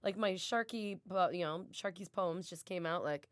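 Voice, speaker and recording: A woman's voice: on the low side, normal speed, no room sound, clean studio sound. Rambling playful woman. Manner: rambling, playful, restarts a lot. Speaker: radio show co-host. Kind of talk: casual podcast banter.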